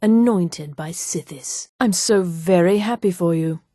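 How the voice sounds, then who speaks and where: An elven assassin of a dark brotherhood for a fantasy game. Her voice sits fairly low and is recorded close and dry. She speaks formally and deliberately, with composed, cool menace. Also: no room sound. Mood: reverent envy. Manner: formal, measured, deliberate diction. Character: poised, coolly menacing elf woman assassin of a dark brotherhood. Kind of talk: scripted fantasy video game dialogue.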